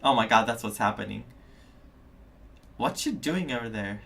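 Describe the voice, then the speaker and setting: A man's voice: medium pitch, animated, moderate pace, vocal fry, background noise. A man streaming a game live, casually musing and reacting aloud.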